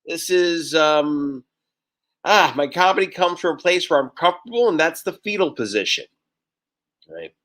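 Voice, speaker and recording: Lively, bright male stand-up comic. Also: on the high side, moderate pace. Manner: deadpan one-liners. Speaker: stand-up comedian. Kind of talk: stand-up set.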